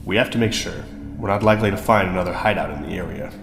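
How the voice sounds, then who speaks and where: A man playing a stubborn, commanding adventurer in a fantasy audio drama, speaking gravely. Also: low voice, fast talker. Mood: wary. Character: determined, commanding male adventurer in a fantasy party. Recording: echoey reverberant hall with music behind.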